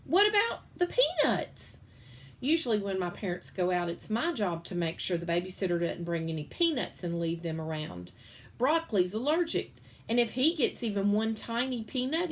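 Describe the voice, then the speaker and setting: Woman reader, children's book, lively and playful, pitch leaps on punchlines. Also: brisk. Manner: expressive, playful storytelling with big pitch swings. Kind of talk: reading a children's book aloud.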